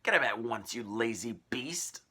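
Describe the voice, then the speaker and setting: A man voicing a grim, bullying aunt in a children's storybook, loudly scolding and commanding. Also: medium pitch, lively intonation, measured pace, breathy edge, full character voice. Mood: scornful, bossy. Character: grim, bony, bullying aunt barking orders. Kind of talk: children's storybook read-aloud.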